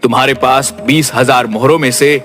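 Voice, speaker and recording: A man's voice: medium pitch, steady tone, moderate pace, fairly bright tone, lightly acted. A man voicing a fair-minded king in a cartoon story, formal and authoritative. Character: stately, fair-minded king judging his subjects. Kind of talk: cartoon moral story acting. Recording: music behind.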